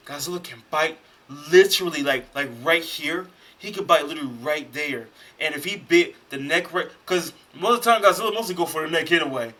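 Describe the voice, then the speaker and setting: An American man hosting a reaction video, reading text aloud and commenting on it in an animated way, repeating phrases for emphasis. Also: on the high side.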